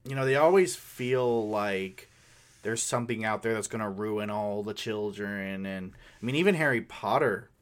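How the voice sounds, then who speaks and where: A man co-hosting a casual conversation about tabletop gaming, talking in an informal, rambling and halting way. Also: fairly low pitch. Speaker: co-host.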